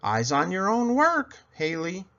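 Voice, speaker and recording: A man's voice: high-pitched, huge pitch swings, a little slow, pushed and bright, lo-fi sound, pitched above the performer's own voice, heavily acted. A man voicing a strict, unsmiling woman first-grade teacher in a storybook, firm and instructive. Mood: stern.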